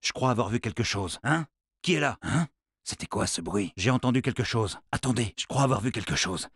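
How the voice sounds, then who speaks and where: An actor playing a wary, watchful soldier on patrol in a video game, guarded and questioning. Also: male voice, medium pitch, lively intonation, fairly quick, fairly bright tone, breathy edge, no room sound, clean studio sound. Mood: wary, suspicious.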